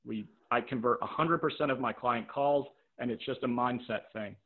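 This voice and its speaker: A man's voice: medium pitch, moderate pace. Man on a tinny webinar call. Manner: direct and practical, repeats phrases for emphasis; checks in with rhetorical "right?" and "okay?". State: voice tiring from talking all day. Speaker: private practice coach running a webinar. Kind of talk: live webinar teaching with audience questions. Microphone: video call microphone. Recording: narrow, tinny video-call audio.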